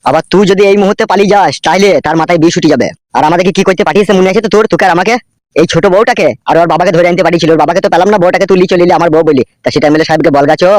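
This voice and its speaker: A bullying cartoon henchman played by a man rattles off coarse warnings at great speed. His voice is slightly high and dryly recorded. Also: very fast, no room sound, natural voice. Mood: stern warning. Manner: foul-mouthed, warning, coarse. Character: gruff-talking, bullying male henchman of a local politician. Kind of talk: comic cartoon dub.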